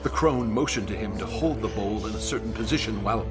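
A man's voice: lowish voice, lightly acted. An actor playing a haunted young man recounting a friend's horrific death, in an intense radio drama style. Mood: grave, suspenseful. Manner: intense, halting when distressed. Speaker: narrator and lead actor. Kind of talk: dramatic radio play narration. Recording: dramatic music under the voice.

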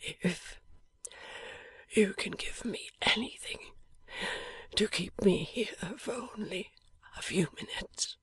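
A woman voicing a gravely ill young gentleman, speaking in a faint, breathy, halting whisper. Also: mid-range voice, no room sound, voice pushed up, heavily acted. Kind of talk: audiobook character voice.

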